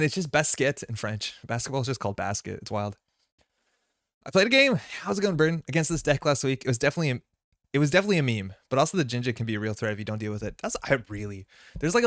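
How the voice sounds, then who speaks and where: A man hosting a live stream chats loosely, wandering between thoughts with plenty of fillers and restarts. His first language is Slovenian, and his voice sits at a middling pitch. It was recorded dry and close on a desk mic. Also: no room sound. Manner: rambling, many fillers and restarts. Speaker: stream host. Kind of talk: casual live-stream chat.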